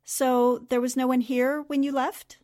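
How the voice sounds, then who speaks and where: A woman's voice: high-pitched, flat delivery, unhurried, a little mellow. An actress playing a wry, skeptical newspaperwoman who questions sharply, in 1940s radio style. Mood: skeptical, probing. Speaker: actor in a radio drama.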